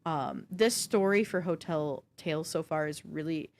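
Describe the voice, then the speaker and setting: A woman with a slightly low voice talks casually as a podcast co-host reviewing games. She tells things in an animated, self-deprecating way, in loose conversational speech. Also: moderate pace. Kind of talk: casual gaming chat and review.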